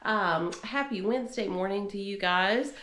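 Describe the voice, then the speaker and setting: A woman's voice: mid-range voice, normal speed, echoey room. A woman in her forties, congested from a cold, chatting casually and encouragingly in a rambling home vlog. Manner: rambling, warm, encouraging, many fillers. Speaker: homemaking vlogger. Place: home office.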